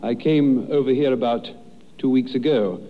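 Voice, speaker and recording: A man's voice: mid-range voice, normal speed. A young man from London with an English accent, answering in a radio interview as a contestant, politely and formally, with some hesitation. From London, England. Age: young adult. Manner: polite, well-spoken, hesitant with formal phrasing. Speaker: amateur contestant. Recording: muffled, hissy 1930s radio broadcast.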